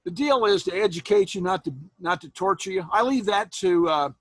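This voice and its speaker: A man in his sixties from Iowa, a college instructor chatting casually with students on a video call, folksy and quick to laugh. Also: high voice, dry recording. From Iowa, USA. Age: 60s. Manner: folksy, joking, laughs often. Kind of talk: casual chat with students after an online class. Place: home, indoors, on a video call. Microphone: computer mic on a video call.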